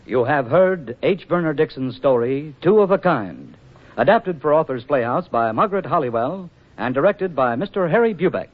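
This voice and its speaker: A man's voice: on the high side, lively intonation, normal speed. A man giving formal announcements as a 1940s radio announcer. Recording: dull vintage radio recording, music behind. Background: music.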